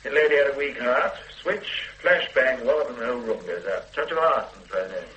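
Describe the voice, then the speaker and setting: A brisk, offhand police chief. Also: male voice, medium pitch, expressive, pushed and bright, slight background noise. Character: brisk, breezy senior male police officer. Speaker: senior police officer. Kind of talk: radio drama acting. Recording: roomy studio echo.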